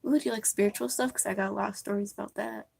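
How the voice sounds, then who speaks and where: A woman's voice: mid-range voice, steady tone, rapid-fire, vocal fry, husky, no echo. A young woman chatting casually and musingly while live streaming a makeup session, rambling between thoughts. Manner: rambling, soft-spoken and musing.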